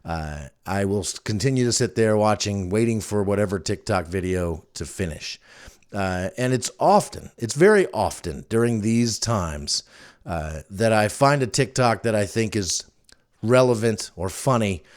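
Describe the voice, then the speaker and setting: A man's voice: lowish voice, lively intonation, measured pace. A middle-aged man hosting a podcast, giving casual recommendations in a rambling, conversational way. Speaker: podcast host. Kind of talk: casual solo recommendations chat.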